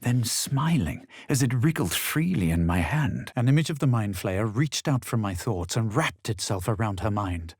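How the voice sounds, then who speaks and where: An actor playing a brooding male fantasy adventurer, narrating his visions for a video game in a measured, solemn way with a low, husky voice. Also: expressive, no room sound, clean studio sound. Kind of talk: dramatic first-person game narration.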